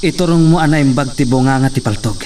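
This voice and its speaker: An actor as a young gentleman gives calm, instructive directions. His voice is mid-pitched and steady. He sounds set back from the mic, with faint crickets around him. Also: fast, far from the mic, slight background noise, lightly acted. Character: patient young gentleman teaching shooting.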